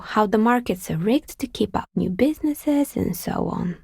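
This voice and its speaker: An actress playing a wry, world-weary artisan dicemaker in a video game, speaking in a dry, understated, matter-of-fact way with a husky, breathy voice. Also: female voice, medium pitch, a little mellow, no room sound. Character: wry, world-weary woman artisan who makes novelty dice. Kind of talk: video game character dialogue.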